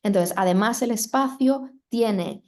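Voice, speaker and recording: A woman's voice: medium pitch, unhurried, darkish tone. A woman moderating a video call, fluently summarizing a presentation. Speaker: moderator and interpreter. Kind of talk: quick Spanish summary of a presentation.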